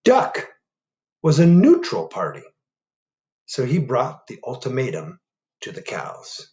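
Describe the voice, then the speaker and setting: A middle-aged man reading a picture book aloud to children at home on a webcam, animated and asking them questions. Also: mid-range voice, slow, roomy sound. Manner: animated, asks the young audience questions. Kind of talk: children's storytime read-aloud. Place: home living room. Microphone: webcam at desk.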